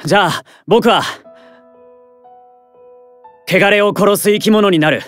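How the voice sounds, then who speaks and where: A man's voice: high-pitched, slow, fairly bright tone, soft background music. A man playing a resolute, grieving young male student in an audio drama, speaking earnestly and with feeling. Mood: impassioned resolve. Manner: earnest, emotionally swinging. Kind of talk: Japanese voice drama acting.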